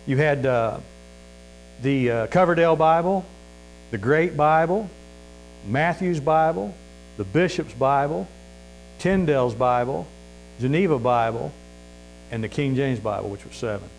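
A man's voice: mid-range voice, a little slow, vocal fry, pauses often, poor audio. Earnest folksy preacher, a man with a Missouri accent and a mid-range voice, with a light mains hum on the track. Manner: folksy and earnest, many fillers and restarts. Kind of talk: sermon.

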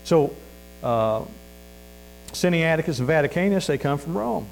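A man's voice: on the high side, lively intonation, measured pace, vocal fry, low-quality recording. A man with a Missouri accent preaching a sermon in a folksy, earnest way, full of fillers and restarts. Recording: faint mains hum.

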